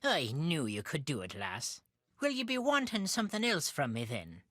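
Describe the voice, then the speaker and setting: Low-voiced, blunt Irish countrywoman. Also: huge pitch swings, normal speed, a bit bright, no room sound, studio quality, heavily acted. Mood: amiable, businesslike. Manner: folksy, brusque phrasing. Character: blunt, wry Irish countrywoman who keeps sheep. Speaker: video game character. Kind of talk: video game character dialogue.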